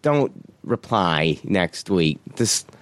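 Low-voiced laid-back male podcast host. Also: sing-song, extremely slow, dry recording. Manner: laid-back, laughs often, swears casually. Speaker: podcast host reading listener reviews. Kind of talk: casual podcast chat.

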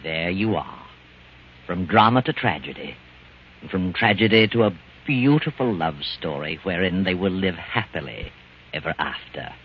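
An actor playing a sinister, mocking, all-knowing narrator in a 1940s radio suspense play. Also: male voice, low voice, expressive, normal speed, a little hiss or hum. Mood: sardonic. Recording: muffled old radio recording.

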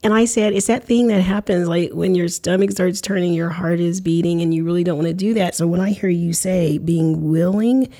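A woman hosting a casual interview, her voice set somewhat low with a mellow, relaxed tone. She talks in loose, reflective sentences that double back and restart as she thinks aloud. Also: fairly quick, hardly any pauses. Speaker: podcast host.

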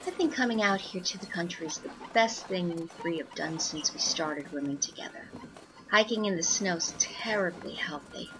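A woman's voice: lowish voice, moderate pace, noisy background, close to an everyday voice. A woman playing a mild, timid young woman, earnest and hesitant, in an old-time radio drama. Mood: dreamy.

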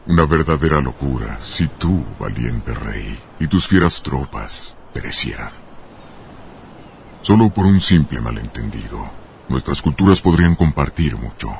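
An imperious god-king from a dubbed epic film, with an exceptionally low voice. He declaims pompously and deliberately, coaxing and threatening, over faint soundtrack noise. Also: animated, gravelly, a little mellow, exaggerated voice. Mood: persuasive. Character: imperious male god-king, menacing, grandly persuasive. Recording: noisy film soundtrack clip.

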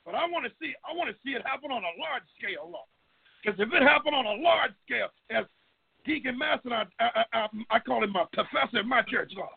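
A man's voice: very high-pitched, lively intonation, quick pace, vocal fry, breathy edge. A middle-aged man prays aloud fervently and off the cuff, pleading and repeatedly calling on God. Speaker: person leading group prayer. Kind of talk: fervent extemporaneous prayer. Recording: tinny, phone-line-like audio.

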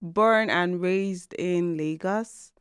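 A Nigerian woman in her thirties telling her story in a casual podcast chat, animated and laughing often. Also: fairly low pitch, very slow, clean tone, no room sound, studio quality. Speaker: podcast co-host and guest. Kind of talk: casual conversational interview.

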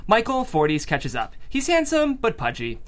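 Animated man narrating a script. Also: high-pitched, moderate pace. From US Midwest. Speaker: host and stage-direction narrator.

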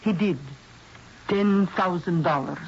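A husky, enigmatic spirit medium from a vintage radio play. She talks in formal, measured phrases and keeps her secrets with calm assurance. The recording is dull and lo-fi. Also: on the low side, exaggerated voice. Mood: serene, mysterious. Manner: formal, measured, mysterious, evasive. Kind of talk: old-time radio drama acting.

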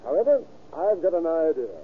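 A man's voice: fairly high pitch, sing-song, a little fast, slight background noise. An actor playing a firm, skeptical lawman questioning a prisoner in a probing, businesslike way, in old radio crime drama style. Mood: skeptical, determined. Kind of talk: 1930s-40s radio crime acting. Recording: tinny old radio recording.

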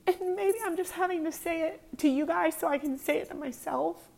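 A woman's voice: extremely high pitch, fairly quick, creaky, a little mellow. A woman in her thirties hosting her own podcast, talking in an earnest, confessional way with many ums. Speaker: podcast host. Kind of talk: casual personal talk.

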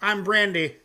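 High, bright-voiced casual male host. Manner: loose and profane, with frequent fillers; reads his own comic poems aloud. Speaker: host of a music reaction show. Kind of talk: casual live-stream chat.

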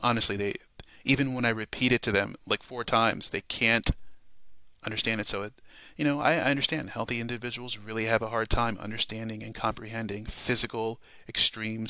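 Bright, gravelly man speaking earnestly. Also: lowish voice, no echo, poor audio. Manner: earnest, emphatic, often checks with "okay?" and "all right?". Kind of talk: informal devotional talk, personal testimony.

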